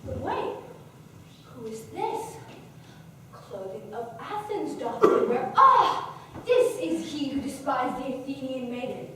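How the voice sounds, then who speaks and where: A woman's voice: high voice, big pitch swings, takes it slowly, sharp tone, a little hiss or hum, exaggerated voice. A woman playing a mischievous fairy sprite in a stage play, declaiming verse with playful emphasis, recorded far off in an echoey hall. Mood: sly. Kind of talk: amateur stage play acting.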